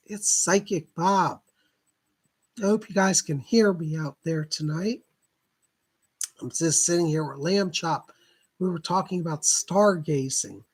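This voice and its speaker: Hoarse man with a husky, weak voice. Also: high-pitched. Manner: chatty, greets viewers by name, keeps clearing his throat. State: hoarse, recovering from laryngitis. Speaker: psychic hosting live readings. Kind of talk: casual live-stream chat.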